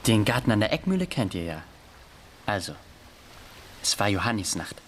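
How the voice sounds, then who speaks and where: Eager schoolboy showing off nervously, radio play, voice jumps around, faint noise behind. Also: male voice, fairly low pitch, very animated, moderate pace, fairly bright tone. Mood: eager boasting. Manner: eager, insistent, boastful. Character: timid well-bred schoolboy boasting nervously.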